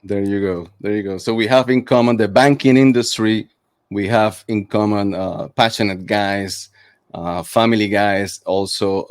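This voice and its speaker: Male podcast host, middle-aged, slightly low pitch, dry recording, conversational interviewing. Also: no room sound.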